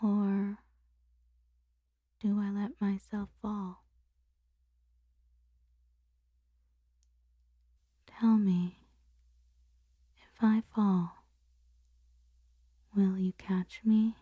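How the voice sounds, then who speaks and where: A woman playing a conflicted boss confessing forbidden desire in an intimate, breathy, whispered ASMR roleplay monologue. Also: medium pitch, little pitch movement, dry recording, phone-quality sound. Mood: yearning, tempted. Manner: slow, intimate, halting delivery.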